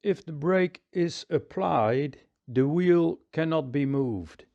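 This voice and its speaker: Slow, calm Dutch-accented repair man. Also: a little high, creaky, dull audio. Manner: calm, thinking aloud while working. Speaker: repair technician. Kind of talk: hands-on repair tutorial.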